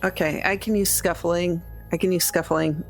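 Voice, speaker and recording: A woman talks casually and roleplays at a tabletop game. Her voice is a little low, and music plays behind it. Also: fairly even intonation, normal speed.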